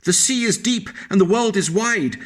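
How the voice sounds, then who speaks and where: A tiny sea snail with itchy feet speaks high and bright, sighing with longing for the wide world, as a man reading to children puts her on. Her voice is much lighter and higher than the reader's own, wistful and a little breathless, like a small storybook creature. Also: animated, normal speed, natural voice.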